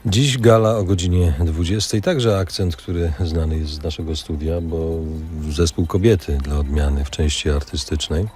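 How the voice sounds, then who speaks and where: A man's voice: deep voice, normal speed, hardly any pauses, a little mellow, soft background music. A man hosting a live radio interview in a conversational presenter's style. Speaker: radio host.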